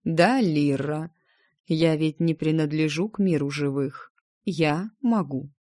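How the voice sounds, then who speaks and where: A woman reading a storybook, voicing a reserved, sad, knowing ghost woman who speaks in a slow, measured, withholding way. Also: low-pitched, darkish tone, dry recording, clean studio sound.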